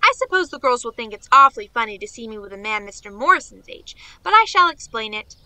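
A woman's voice: on the high side, big pitch swings, fast talker, sharp tone, no echo, heavily acted. A woman playing a naive, eager young niece in a radio play, chattering on in rambling run-on sentences. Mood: earnest, excited.

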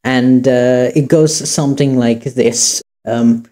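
Mellow-voiced casual man hosting a tutorial. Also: medium pitch, fairly even intonation, slow. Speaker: tutorial host. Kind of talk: informal screen-recorded tutorial.

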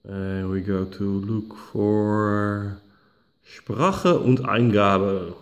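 A man who is not a native English speaker, walking through a tablet reset step by step, unhurried and full of "uh" fillers and restarts, recorded at home on a camera mic. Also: lowish voice. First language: not English, picks Dutch. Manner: unhurried, many uh fillers, self-corrections. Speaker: tech tutorial host. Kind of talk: slow step-by-step screen walkthrough. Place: home dining room, indoors.

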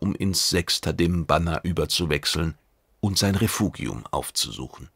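A man's voice: sits low, creaky, husky, no room sound, clean studio sound. A man reading a science fiction audiobook as a dramatic scripted narration.

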